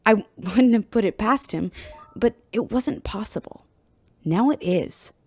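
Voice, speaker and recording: A woman playing a brisk, wry spaceship chief engineer talking technically in a sci-fi radio drama interview. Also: medium pitch, lively intonation, darkish tone, breathy edge, no echo. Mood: wry amazement.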